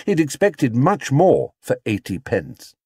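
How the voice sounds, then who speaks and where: A man's voice: medium pitch, huge pitch swings, slow pace, dark tone, slightly rough, no room sound, clean studio sound. A man reading a scripted children's story as an audiobook narrator.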